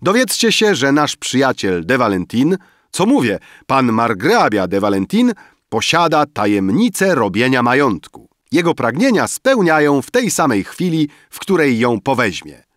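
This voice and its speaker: A man voicing an excitable young friend in an audiobook, speechifying in an emphatic, cajoling and theatrical way. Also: mid-range voice, fairly quick, hardly any pauses, dry recording, studio quality, pitched above the performer's own voice, close to an everyday voice. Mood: theatrical announcing. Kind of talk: dramatized audiobook dialogue.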